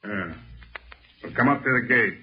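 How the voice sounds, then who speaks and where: An actor playing a gruff, bossy American small-town policeman barking curt orders in a 1940s radio drama. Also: fairly low pitch, moderate pace. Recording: dull, noisy old radio recording.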